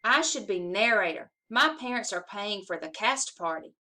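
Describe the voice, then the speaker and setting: A woman's voice: mid-range voice, lively intonation, moderate pace, sharp tone. A woman voicing a fussy, vain, complaining schoolgirl in a storybook, with a whiny, exclaiming manner.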